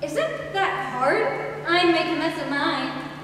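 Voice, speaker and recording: A teenage girl acting an eager, naive, inquisitive woman in a high school stage play, earnest and persistent. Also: lively intonation, slow pace. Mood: naive, impressed. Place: high school stage, audience camera far away. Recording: noisy echoey hall, recorded from far off.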